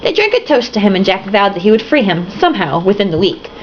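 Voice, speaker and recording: A woman's voice: medium pitch, very animated, quick pace. A young woman reading a novel aloud, tipsy, giggly and sarcastic, breaking off for swearing asides. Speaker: reader and commentator. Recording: dull lo-fi home recording with faint hiss.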